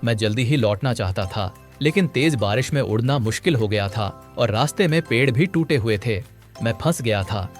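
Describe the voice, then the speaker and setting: Gentle cartoon father sparrow voiced by an actor, caring, apologetic, faint music. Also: mid-range voice, animated, normal speed, close to an everyday voice. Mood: concerned.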